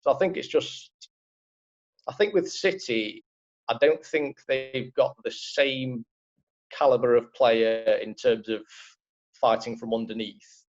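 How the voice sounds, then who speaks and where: A man's voice: mid-range voice, takes it slowly, pauses often. Liverpool-accented man, dull lo-fi audio. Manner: rambling, many fillers and restarts. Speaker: football writer, co-host. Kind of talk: casual football podcast chat.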